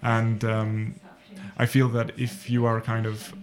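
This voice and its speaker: A man, a panel guest who speaks haltingly with many pauses. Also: lowish voice, monotone. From Wales. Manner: halting, lots of uhs. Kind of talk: panel discussion.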